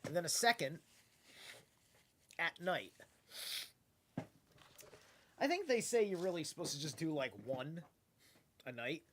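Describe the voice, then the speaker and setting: This is a tired man with a blocked, stuffy nose, rambling through a casual late-night stream. He talks at a slow, drawn-out pace in a slightly raised voice. He drifts loosely from thought to thought and swears offhandedly. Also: on the high side, animated, a bit bright, a little hiss or hum. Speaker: live streamer.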